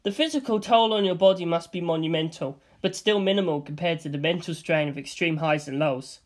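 A man's voice: on the high side, a little fast, close to an everyday voice. A man voicing a prim, preachy male mushroom person who lectures in over-formal wording.

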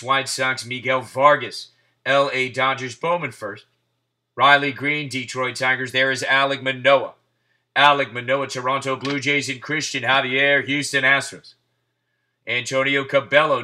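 Guy doing a live card break, really bright sharp voice, fires off names, a bit hoarse. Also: medium pitch. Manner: rapid-fire name calling, hyped asides. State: losing his voice. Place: indoors at a table.

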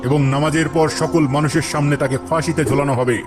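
A stern caliph sits in judgment, played by a man in a cartoon drama. His voice is fairly high for a man, solemn and declamatory. He speaks in formal rulings over a clear music bed. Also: steady tone, fast, natural voice.

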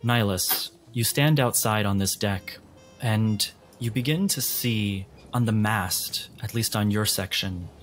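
A man with a low voice runs a tabletop game. He narrates scenes deliberately, phrase by phrase, in an atmospheric style over faint background music. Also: fairly bright tone. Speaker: game master.

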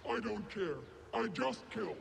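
A man voicing an arrogant, ruthless combat droid in a video game, clipped and deadpan through robotic processing. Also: high voice, unhurried, slight background noise, full character voice. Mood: deadpan menace. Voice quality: gravelly, mechanical.

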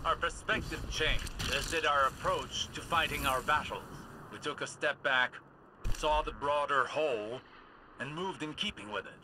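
An actor playing a wise older scholar mentor in a video game, earnest and philosophical, lecturing in formal, measured phrases. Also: male voice, on the high side, close to an everyday voice. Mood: thoughtful, instructive. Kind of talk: scripted video game dialogue. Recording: game audio with vehicle-like noise.

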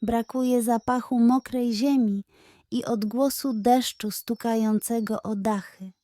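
Woman voicing a curious, earnest little boy, soft and high. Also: fairly even intonation, measured pace, no room sound, clean studio sound, close to an everyday voice. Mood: earnest, wistful.

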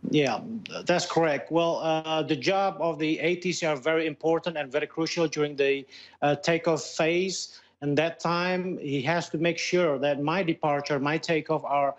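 A man with an Indonesian accent speaks in a fairly high voice over a dull Skype connection. He is being interviewed as a guest and answers haltingly, searching for his English words. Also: steady tone. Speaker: airline pilot, interview guest. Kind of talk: live news interview. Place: plain indoor room. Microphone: video call.

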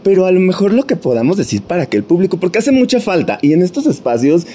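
A man's voice: high-pitched, very animated, quick pace, warm tone, slight background noise. A middle-aged man hosting an interview with a chatty, agreeable manner, on a handheld mic in a small theater. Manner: chatty, agreeable, frequent affirmations. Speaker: interview host. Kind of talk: casual interview chat. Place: small theater set, indoors.

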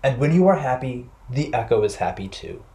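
A wise old beaver from a storybook, as a man tells it. He explains things calmly and with measure, his pitch rising and falling. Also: a little fast, echoey room, lightly acted. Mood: calm, knowing.

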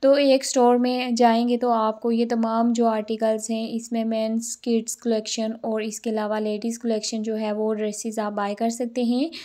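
A woman's voice: on the high side, level tone, moderate pace, few pauses, mellow. A woman, chatting casually as she walks through a clothing shop, praising outfits and listing prices. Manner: chatty, repeats praise words, lists prices. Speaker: shopping vlogger. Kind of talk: casual store walkthrough narration. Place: clothing store indoors.

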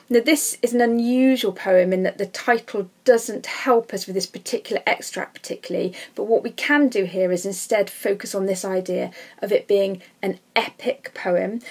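Woman teacher talking through a lesson, bit husky, informal, mid-pitched. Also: darkish tone. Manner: informal, explanatory. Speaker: English literature teacher. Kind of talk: explanatory lesson voice-over.

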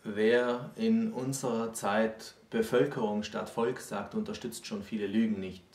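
A man in his forties giving historical explanations in a measured, matter-of-fact way. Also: fairly low pitch, steady tone, a little mellow. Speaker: co-presenter of a literature explainer series. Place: small indoor room with bookshelves. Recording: roomy, distant microphone.

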